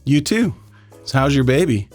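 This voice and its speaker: An easygoing male mechanic chats in a radio drama. His mid-pitched voice swings widely and animatedly, casual and chummy, with faint music behind. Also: very animated, normal speed, a little mellow. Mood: friendly, curious.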